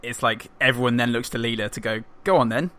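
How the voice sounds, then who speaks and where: A man on a podcast panel, casually critiquing with deliberate, emphatic phrasing. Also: mid-range voice, over a noisy crowd.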